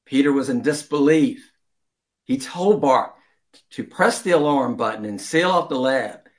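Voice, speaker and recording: A man reading a children's story aloud as an amateur storyteller, deliberately, with some stumbles and throat-clearing. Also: medium pitch, lively intonation.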